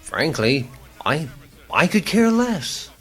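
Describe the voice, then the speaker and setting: A man impersonating an indifferent celebrity talk-show host, deliberate and dismissive, in satirical radio theater. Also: fairly high pitch, big pitch swings, soft background music. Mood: breezily indifferent.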